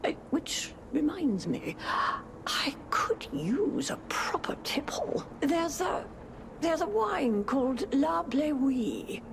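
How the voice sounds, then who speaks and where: Game role: elderly female tippler, gravelly and wryly grumbling, swinging widely in pitch, over background noise. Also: sing-song, slow, full character voice. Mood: coaxing, wistful. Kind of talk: video game character acting. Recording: clear noise and ambience behind.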